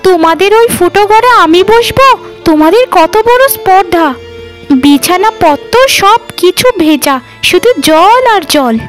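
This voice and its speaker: A woman's voice: very high voice, expressive, a little slow, faint music behind, low-quality recording. A woman voicing a haughty, boastful well-off housewife in a cartoon, talking down to others in a condescending, gloating way. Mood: indignant, scolding. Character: haughty, boastful well-off village housewife, mocking poor neighbours. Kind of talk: cartoon voice acting.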